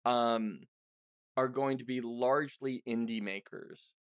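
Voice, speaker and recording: A man's voice: medium pitch, fairly even intonation, slow pace, clean tone, dry recording. Male podcast host, informal and opinionated, ordinary mid-range voice, chatty interview delivery, very dry sound. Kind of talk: casual conversational interview.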